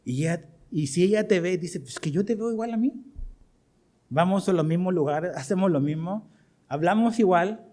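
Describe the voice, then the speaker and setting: A man's voice: high voice, lively intonation, moderate pace, mellow. A middle-aged man with a Chilean accent preaching to his congregation as pastor, asking them questions as he goes. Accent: Chilean Spanish. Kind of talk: sermon.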